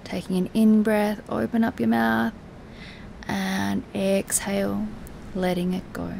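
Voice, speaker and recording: A woman teaching guided relaxation in a soothing, soft, instructive way, with breathy, near-whispered moments and long pauses. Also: mid-range voice, little pitch movement, slight background noise. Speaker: yoga nidra meditation teacher.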